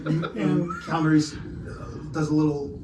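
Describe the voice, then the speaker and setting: A man narrating an improvised tabletop game as game master, halting and repeating words as he goes. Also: on the high side, completely flat delivery, loud music behind the voice. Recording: echoey noisy room, distant mic.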